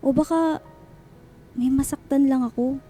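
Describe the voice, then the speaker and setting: A young Filipino student in a radio drama, chatty yet unsure of her heart. Her voice is very high and gently mellow, with light music underneath. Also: fairly even intonation, unhurried. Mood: unsure, confiding. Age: young adult. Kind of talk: radio drama acting with English code-switching.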